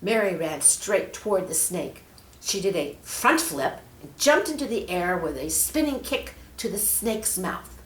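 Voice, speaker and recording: A woman reading a children's story aloud expressively, measured and leaning on key words. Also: mid-range voice. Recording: echoey room.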